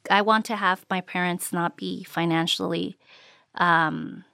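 A woman born in Mexico recalling her life in an interview, reflective and unhurried with frequent ums and uhs. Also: lowish voice, fairly even intonation, gravelly, bright, forceful tone, no echo, clean studio sound. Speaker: interviewee, immigrant rights advocate. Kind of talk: recorded interview recollection.

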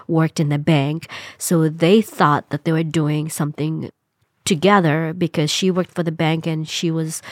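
A woman from Mozambique telling her life story in an interview, reflective and unhurried, often saying "you know.". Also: deep voice, darkish tone, no room sound, studio quality. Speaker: interview guest.

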